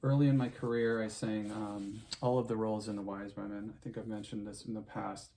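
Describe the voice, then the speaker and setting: Gravelly-voiced man rambling to camera. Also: fairly low pitch, flat delivery, fairly quick, slight background noise, poor audio. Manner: chatty, full of fillers and restarts, warm sign-off advice. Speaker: opera singer. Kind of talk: casual rambling monologue to viewers.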